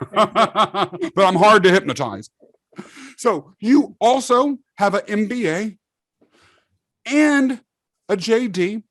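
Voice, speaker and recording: A man from England hosting a podcast, chatting with a guest in a lively, joking way and laughing easily. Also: high voice, takes it slowly, pauses often, no room sound, studio quality. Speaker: podcast host. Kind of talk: casual interview chat.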